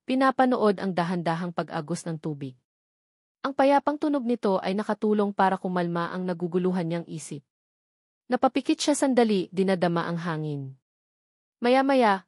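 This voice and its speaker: A woman's voice: medium pitch, darkish tone, dry recording, clean studio sound. A woman narrating a scripted romance story as an audiobook storyteller.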